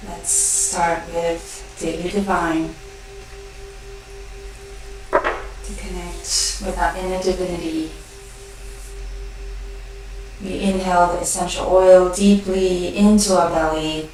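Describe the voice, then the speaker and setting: A woman leading a guided meditation, speaking gently and unhurriedly in short phrases with pauses between them. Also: lowish voice, flat delivery, faint music behind. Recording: very echoey room, distant mic.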